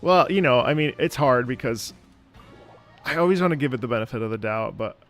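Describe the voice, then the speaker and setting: A male game streamer with a mid-pitched voice talks casually to his viewers. He rambles and thinks aloud as he comments. Faint game music sits behind him. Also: animated, fairly quick, a bit bright. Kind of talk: casual live stream commentary, reading chat.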